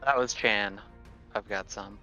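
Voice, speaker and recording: A man's voice: fairly low pitch, a little slow, bright, forceful tone, muffled recording, soft background music. A man playing a tabletop roleplaying game, chatting casually in a hesitant, wry manner.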